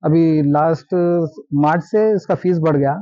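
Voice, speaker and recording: A man's voice: fairly high pitch, steady tone, a little slow, mellow, no echo. A man with a Hyderabadi accent explains things in a casual interview, mixing English terms into his speech. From Hyderabad, India. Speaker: vintage jeep owner and ham radio operator being interviewed. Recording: dull, dry lo-fi recording.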